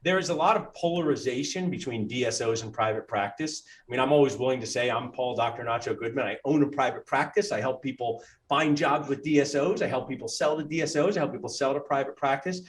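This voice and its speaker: Animated man hosting a chat. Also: medium pitch, fast, few pauses. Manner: animated, interjecting, rhetorical. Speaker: dentist and podcast host. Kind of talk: casual interview chat.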